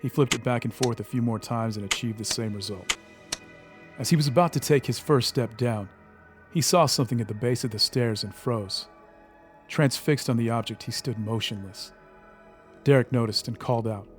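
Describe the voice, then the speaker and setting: A male narrator reads scripted story narration for an audio drama. His voice is mid-pitched, with faint music underneath. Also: normal speed.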